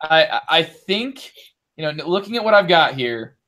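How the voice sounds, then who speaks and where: A man's voice: a little high, animated, moderate pace, pushed and bright. A man chatting casually about board games in a hesitant, wordy way that circles back on itself. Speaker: podcast panelist.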